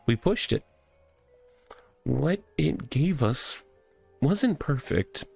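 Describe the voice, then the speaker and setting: Man acting a halting, creaky, self-pitying oddball cop. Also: medium pitch, expressive, soft background music, voice pushed up, full character voice. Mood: wistful, fragile. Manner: halting, stammering, repetitive.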